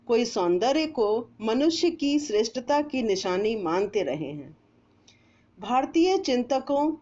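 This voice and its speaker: A woman storyteller reading a moral essay aloud in a measured, deliberate way, with pauses between phrases. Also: a little high, a little mellow, dull audio. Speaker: storyteller narrator.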